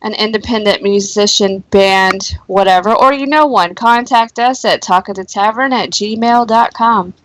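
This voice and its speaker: A woman's voice: medium pitch, moderate pace, hardly any pauses, fairly bright tone, no echo, poor audio. A woman co-hosting a live stream show, chatting casually with dry, teasing quips. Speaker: podcast co-host. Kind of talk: casual chat.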